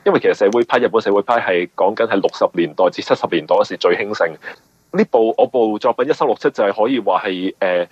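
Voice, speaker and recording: A man with a Hong Kong accent being interviewed as a novelist, explaining in a conversational, halting way and often repeating words. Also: on the low side, breathy edge, no room sound, dull audio.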